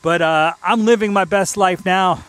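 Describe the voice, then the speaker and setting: A man in his fifties with a slightly high voice chats as a radio DJ. He rambles informally, thinking out loud between songs. The sound is close and dry. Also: moderate pace, no echo. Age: 50s. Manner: informal, loose, thinking aloud. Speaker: radio DJ host. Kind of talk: casual, rambling music radio talk between tracks.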